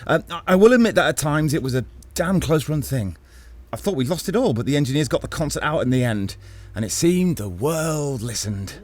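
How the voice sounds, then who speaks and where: A man's voice: on the high side, expressive. Earnest radio official, acted by a man. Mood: measured, determined. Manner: earnest, measured, persuasive. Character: earnest, determined male radio company official, 1920s. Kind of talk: radio play acting.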